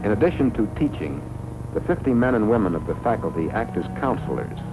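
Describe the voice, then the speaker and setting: A man reads scripted 1950s educational film narration in a measured, formal way, on a vintage film soundtrack. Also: fairly low pitch, low-quality recording. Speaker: documentary narrator.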